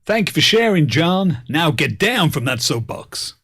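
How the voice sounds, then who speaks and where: A man's voice: a little high, very animated, unhurried, fairly bright tone, husky, no echo, clean studio sound. A man speaking in the theatrical style of a show announcer.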